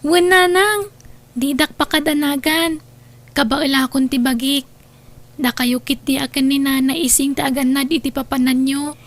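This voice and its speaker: A woman's voice: high-pitched, steady tone, normal speed. A woman with an Ilocano accent playing a dutiful, lonely young daughter living in seclusion in an audio drama. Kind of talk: Ilocano radio-style drama acting. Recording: noisy track with birdsong. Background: birdsong.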